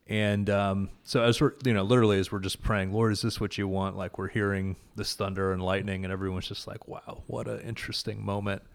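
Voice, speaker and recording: A man's voice: lowish voice, little pitch movement, fairly quick, creaky, hardly any pauses. A man casually and earnestly recounting a prayer gathering, with many fillers and restarts. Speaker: guest, prayer event organizer. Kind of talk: casual conversation about faith.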